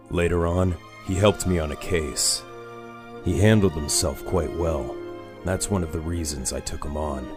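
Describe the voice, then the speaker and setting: An actor playing a brooding, guarded older masked crime-fighter in a comic dub, with a grave, measured delivery. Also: male voice, sits low, darkish tone. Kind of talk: comic dub dramatic acting.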